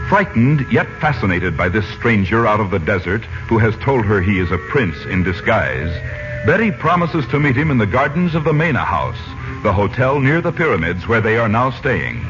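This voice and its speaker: A man's voice: on the low side, sing-song, normal speed. A man announcing and narrating a 1940s radio serial from a script in a formal, theatrical, emphatic style. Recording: muffled vintage 1940s radio recording. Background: music.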